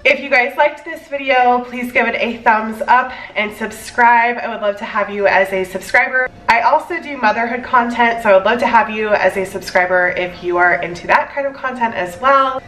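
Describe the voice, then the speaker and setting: A woman's voice: on the high side, normal speed, few pauses, pushed and bright. A young woman teacher, home from work, talking tiredly with a stuffy, congested voice. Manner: chatty, tired, filler-heavy. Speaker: elementary school teacher. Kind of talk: casual vlog chat. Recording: echoey room, mic at a distance.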